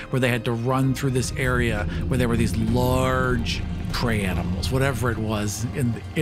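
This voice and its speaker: A man playing a tabletop roleplaying game, casually improvising narration of the action. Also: mid-range voice.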